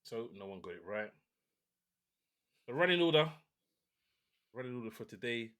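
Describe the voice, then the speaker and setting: A man hosting a casual football podcast, talking in a relaxed, opinionated way with many restarts. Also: mid-range voice, normal speed, clean tone. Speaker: podcast host.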